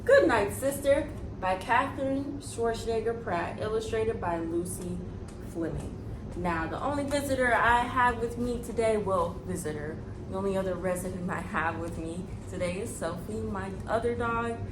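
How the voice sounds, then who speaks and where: A woman's voice: mid-range voice, moderate pace. A woman hosting a children's read-aloud at home, friendly and chatty as she reads a picture book. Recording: echoey room, distant mic, background noise.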